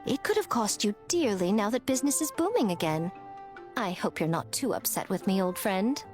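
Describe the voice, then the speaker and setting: A woman's voice: medium pitch, animated, normal speed, darkish tone, soft background music, close to an everyday voice. A woman playing a sly, teasing, elegant shrine priestess in an anime video game, coy and mock-polite.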